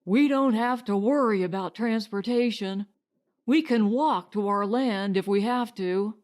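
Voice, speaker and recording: A woman's voice: mid-range voice, measured pace, darkish tone, close to an everyday voice. A woman voicing an eager young husband, a hopeful settler in a storybook, speaking emphatically. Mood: assured.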